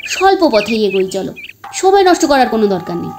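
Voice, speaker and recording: A woman voicing an eager, impatient young village boy in a storybook tale, speaking in a raised, excited tone. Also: on the high side, fairly quick, over music.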